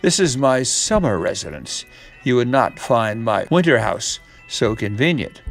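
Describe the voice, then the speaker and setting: A man voicing a sly gentleman fox from a storybook, speaking in a formal, genteel, over-polite manner. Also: medium pitch, sing-song, measured pace, gravelly, faint music behind. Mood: suave, obliging. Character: sly, courteous gentleman fox with oily charm. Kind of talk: storybook character reading.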